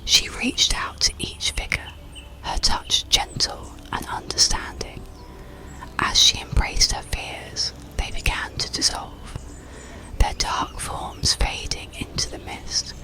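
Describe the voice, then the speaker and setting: A narrator telling a bedtime story in a breathy ASMR whisper, soothing and measured. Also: sharp tone. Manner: soothing, unhurried, measured delivery. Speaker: audiobook narrator.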